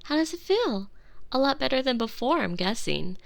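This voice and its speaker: A woman's voice: high voice, big pitch swings, normal speed, full character voice. A woman playing a sweetly doting but obsessive, volatile girlfriend in an intimate, close-miked ASMR roleplay. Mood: tender, intimate. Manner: intimate, cooing, often dropping into breathy whispers. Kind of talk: scripted ASMR roleplay acting. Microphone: close binaural microphone.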